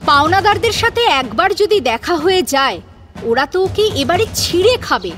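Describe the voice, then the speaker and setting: A woman's voice: high-pitched, a bit bright. A woman narrating a scripted story in a dramatic storytelling style. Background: background music.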